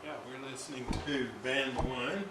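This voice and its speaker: A man giving an informal hands-on repair demonstration, thinking aloud in a halting way with long pauses. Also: mid-range voice, steady tone. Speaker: hobbyist radio restorer presenting his repair. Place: indoors at a repair workbench. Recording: roomy, noisy amateur workshop recording.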